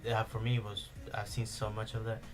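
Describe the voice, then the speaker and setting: A young man with a Spanish accent hosts a casual podcast, rambling through stories with plenty of "like" and "uh". His voice sits a little low. Music plays clearly behind him. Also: completely flat delivery, a little fast. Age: young adult. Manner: rambling, many fillers and restarts. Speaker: podcast host. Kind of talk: casual chat.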